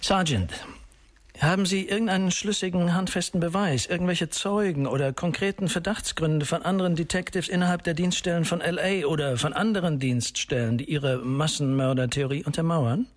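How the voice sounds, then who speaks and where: An actor playing a blunt, sceptical police lieutenant in a crime radio drama, speaking with curt, sardonic authority in a husky voice. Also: male voice, a little high, brisk, natural voice.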